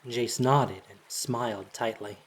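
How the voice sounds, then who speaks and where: A narrator with a husky, breathy voice reads a dramatic story in measured, solemn, deliberate phrases. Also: medium pitch, slight background noise.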